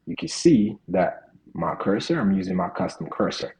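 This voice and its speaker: A man's voice: low-pitched, very animated, moderate pace, darkish tone, rough recording. A man teaching a live online coding class over a video call, talking casually with lots of "right?" and "you know". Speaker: coding instructor.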